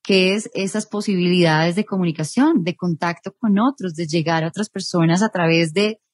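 A woman's voice: lowish voice, normal speed, dry recording. A Colombian woman in her thirties, a journalist guest in an interview, speaking thoughtfully and rephrasing as she goes. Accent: Colombian Spanish. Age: 30s. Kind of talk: interview conversation.

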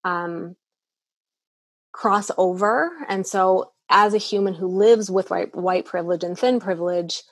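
A woman's voice: mid-range voice, fairly even intonation, a little slow, no room sound. A woman clinician answering interview questions earnestly, with frequent restarts and fillers. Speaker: eating disorder clinician, guest.

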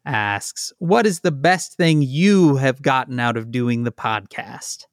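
A man's voice: mid-range voice, no echo, clean studio sound. A man co-hosting a podcast, chatting casually and reading listener questions aloud. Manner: slow, easygoing, reads listener questions aloud. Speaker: podcast co-host. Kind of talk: casual chat.

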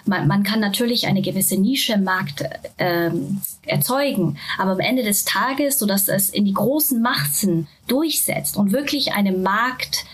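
A woman from Japan with a Japanese accent, a venture capital partner speaking halting German full of fillers and English words in a casual interview. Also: mid-range voice, husky.

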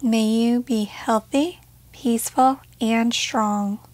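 A woman leading a guided meditation in a gentle, measured way, leaving pauses between short phrases. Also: fairly high pitch, fairly even intonation, dry recording.

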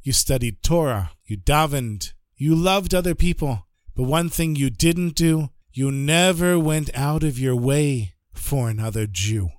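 A man voicing a holy male rabbi speaking slowly and tenderly from the world to come. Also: on the high side, no room sound, studio quality, lightly acted. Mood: solemn. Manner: slow, hushed, tender. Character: dying holy male rabbi, gentle and solemn.

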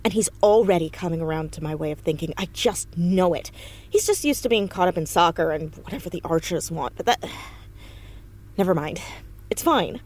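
An earnest teenage schoolgirl in an audio drama. Her delivery is natural and very animated, rising and falling freely as she argues her case with stubborn conviction. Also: lowish voice, moderate pace. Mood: insistent, breezy. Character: earnest, stubborn fourteen-year-old schoolgirl activist.

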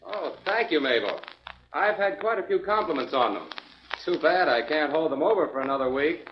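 A man's voice: on the high side, lively intonation, normal speed, lightly acted. A man playing a friendly department store window dresser in a 1940s radio drama. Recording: muffled, hissy 1940s radio recording with clicks.

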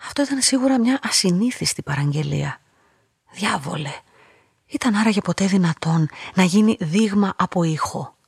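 An actress playing a solitary young woman who narrates her own story in a radio drama, reflective and measured, with a husky voice. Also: lowish voice, animated, darkish tone, no room sound, clean studio sound, exaggerated voice. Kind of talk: literary first-person dramatic narration.

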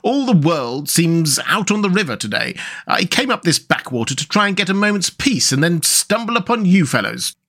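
A man's voice: high-pitched, very animated, moderate pace, a bit bright, voice pushed up, full character voice. A man voicing a breezy, gossipy otter from a storybook, blustery and chummy in an old-fashioned way.

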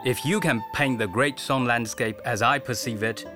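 An actor playing a gracious, regal Chinese emperor in a radio drama, speaking in a measured way. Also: male voice, mid-range voice, natural voice. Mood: gracious, pleased. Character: stately, gracious Chinese emperor with grand ambitions. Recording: music behind the voice.

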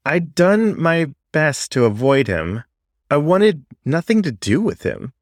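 A man reading a first-person story aloud as an audiobook narrator, in a reflective, confessional way with deliberate pauses. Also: on the high side, very animated, dry recording, clean studio sound. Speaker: story reader.

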